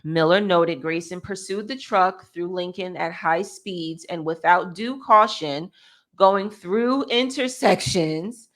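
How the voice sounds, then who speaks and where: A woman's voice: mid-range voice, a bit bright. An American woman reading a news article aloud as a co-host, with casual asides and the occasional stumble over words.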